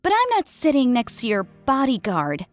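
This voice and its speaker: Seorang wanita memerankan alien betina berbulu kuning yang angkuh dan mudah kesal, berbicara ketus dalam buku audio. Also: female voice, a little high, huge pitch swings, moderate pace, faint music behind, voice pushed up. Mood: grudging. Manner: sneering, snappish. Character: haughty, irritable yellow-furred female alien. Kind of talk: audiobook character acting.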